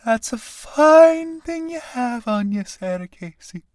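A woman does a crafty old tinker woman who lilts high and swings her voice all over as she mocks and coaxes. The voice is dry, with a lively, teasing bite. It suits a read-aloud stage play. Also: slow pace, no echo. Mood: sleepy, mocking. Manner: wheedling, mocking, gossiping. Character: sly old tinker woman, fond of drink.

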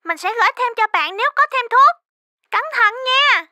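A woman's voice: very high-pitched, animated, moderate pace, pushed and bright, dry recording, clean studio sound, exaggerated voice. A woman voicing a helpful, caring little fox friend in a children's cartoon, with an eager, instructive manner. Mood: concerned, urgent.

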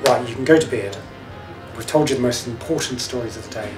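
A British man in his thirties talks in a conversational interview manner at a medium pitch. The room rings a little and music plays clearly behind him. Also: fast. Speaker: radio news journalist. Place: open newsroom lounge. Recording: roomy office sound, music underneath.

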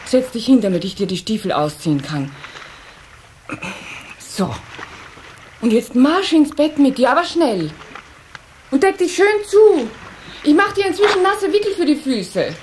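A woman's voice: sits high, big pitch swings, a little slow, long pauses, darkish tone. A woman playing a fussy, reproachful water-sprite mother in a children's radio play. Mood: brisk, caring. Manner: reproachful, bossy, fretful. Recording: cassette recording with faint hiss.

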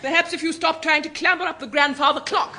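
An actress playing a formidable, imperious and suspicious headmistress in a radio comedy. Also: female voice, sits high, fairly even intonation, fast talker, vocal fry, very noisy. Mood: haughty. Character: formidable, brusque headmistress of a girls' school.

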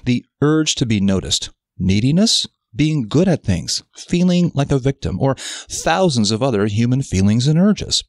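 Expressive man narrating a self-help article. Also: medium pitch, no echo, clean studio sound.

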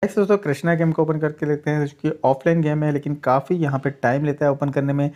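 A man's voice: a little high, fairly even intonation, fast, a little mellow, dull audio. A man, the host of a tech channel, steadily narrating a side-by-side phone speed test and addressing viewers as friends.

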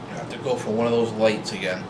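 A man in his forties talking casually to camera about his old truck, relaxed and rambling with lots of fillers and restarts, filmed in a garage. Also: fairly low pitch, quick pace. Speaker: vlogger. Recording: roomy garage with loud hum.

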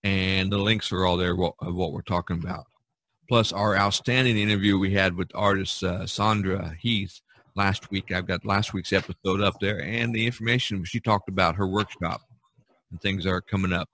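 A man's voice: low voice, fairly even intonation, moderate pace, fairly bright tone. A man hosting a casual podcast chat over a video call, rambling with many fillers and restarts. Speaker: podcast host.

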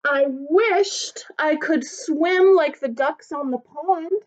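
A woman's voice: high-pitched, slow, pitched above the performer's own voice. A woman voicing a tiny three-pound male dog who sadly wishes he could do what bigger animals do, in a plaintive sing-song storybook way. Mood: wistful. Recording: dull lo-fi recording.

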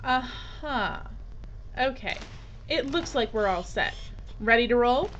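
An actress playing a shrewd, bossy documentary crew leader with a brisk, businesslike manner in an audio drama. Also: female voice, on the high side, animated, fairly bright tone. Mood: probing. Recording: dull, noisy audio.

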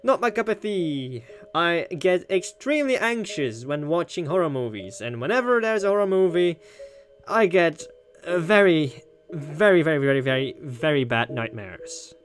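A man giving casual, playful gameplay commentary as a let's player, with halting reactions. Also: a little high, very animated. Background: music.

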